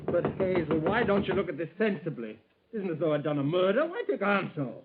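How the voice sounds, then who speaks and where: High-voiced pleading husband, tinny radio. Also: male voice, very animated, a little fast, loud music behind the voice. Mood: pleading, defensive. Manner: urgent, wheedling persuasion. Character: defensive husband pleading and cajoling. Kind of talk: 1940s radio acting. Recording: tinny, distant 1940s radio recording.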